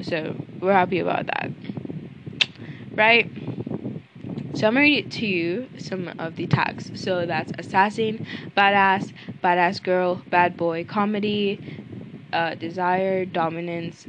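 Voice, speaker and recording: A woman's voice: on the low side, background noise. Chatty young woman with a fading voice. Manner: chatty, ranting, blunt. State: losing her voice, coughing. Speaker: book reviewer. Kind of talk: casual book review vlog.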